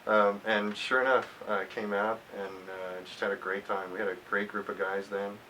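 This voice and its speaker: A man in his fifties from Texas speaks in a low voice with a bright, firm edge. He answers interview questions reflectively, often pausing and restarting a phrase. The microphone is some way off, with a hiss underneath. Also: flat delivery. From United States, grew up in Texas. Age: 50s. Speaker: alumni interviewee, former college athlete. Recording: hissy, distant microphone.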